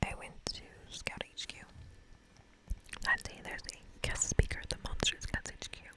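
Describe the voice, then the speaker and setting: A woman whispering softly as an ASMR host, reading the narration of a video game aloud. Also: moderate pace. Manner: soft, breathy whispering.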